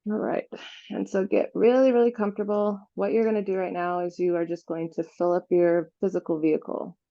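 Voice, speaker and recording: A woman leading an unscripted guided visualization meditation, giving gentle instructions with pauses. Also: medium pitch, flat delivery, creaky, dull audio.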